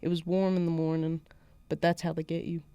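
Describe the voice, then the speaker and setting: A woman's voice: lowish voice, level tone, brisk, dark tone, clean tone, no echo, lightly acted. A woman voicing a defiant small-town schoolgirl in a put-on Southern US accent, narrating a first-person story. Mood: wry, defiant. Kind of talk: first-person literary fiction read aloud.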